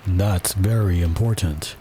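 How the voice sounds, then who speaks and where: Storybook king, male reader: low, mellow, pompous and dim, weighty judicial manner. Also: measured pace, a little hiss or hum. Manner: grave, self-important. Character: pompous, slow-witted old king as judge.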